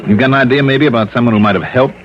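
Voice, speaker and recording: A man's voice: mid-range voice, rapid-fire, lightly acted. An American man playing a shrewd, breezy insurance investigator in an old-time radio drama, with a wry, probing, casual way of talking. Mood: probing, insistent. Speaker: lead actor. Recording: dull vintage radio recording, background chatter.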